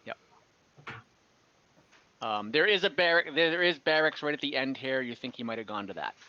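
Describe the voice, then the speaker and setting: A man working as game master, improvising tabletop narration in a halting, descriptive way over an online call. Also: medium pitch, animated, sharp tone, lo-fi sound. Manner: halting, descriptive, often restarting phrases.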